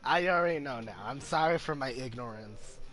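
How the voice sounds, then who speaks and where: A male gaming streamer giving casual playthrough commentary, halting, with non-standard grammar. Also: medium pitch, lively intonation, fairly bright tone, soft background music. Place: game commentary over game audio.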